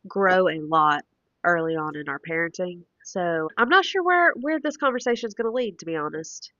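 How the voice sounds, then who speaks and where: Woman, late twenties, deep raspy voice, sick and stuffy, casual podcast chat, dry close mic, dull lo-fi sound. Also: expressive, normal speed, bright, forceful tone, dry recording. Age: late 20s. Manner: chatty, thinks aloud while working things out. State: sick, congested. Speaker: podcast co-host.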